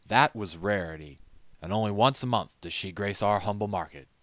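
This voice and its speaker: A man's voice: fairly low pitch, moderate pace, a bit bright, dry recording, voice pushed up, natural voice. Man doing a hearty, knowing old market merchant. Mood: wry warning. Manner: hearty, worldly-wise, fatherly. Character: knowing, avuncular older merchant stallion pony.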